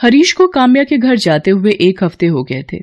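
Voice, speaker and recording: A woman narrating a scripted bedtime story in a steady, even manner. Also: mid-range voice, moderate pace, dry recording.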